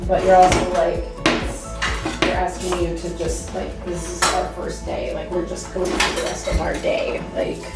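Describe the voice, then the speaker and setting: Woman, hoarse, anxious chatty vlogger, mic far away. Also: fairly low pitch, monotone, normal speed. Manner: chatty, anxious, washing dishes. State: hoarse, lost her voice. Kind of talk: casual chat. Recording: echoey room, mic far off, loud music.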